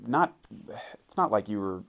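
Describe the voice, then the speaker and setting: A man hosting an interview, asking rambling questions and reacting with short remarks in a relaxed way. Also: lowish voice, unhurried. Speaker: podcast host. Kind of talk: casual interview chat.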